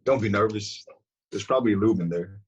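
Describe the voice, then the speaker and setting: A man playing a cocky, flippant, crude young man in a comedic script read over a video call, with a husky voice. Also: lowish voice, a little fast. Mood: teasing. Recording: dull lo-fi video-call audio.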